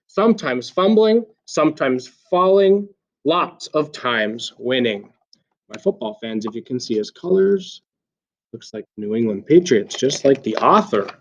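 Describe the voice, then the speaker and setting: A man reading a children's story aloud like a teacher, with expressive, deliberate emphasis. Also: a little high. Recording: slightly dull, lo-fi sound.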